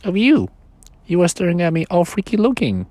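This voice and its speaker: A man's voice: high-pitched, huge pitch swings, fairly quick, a little mellow, no echo, voice pushed up. A man voicing a small, fretful stuffed bedtime buddy in a storybook read-aloud, with a worried, whiny manner. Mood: indignant. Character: timid little bedtime plush creature, fretful.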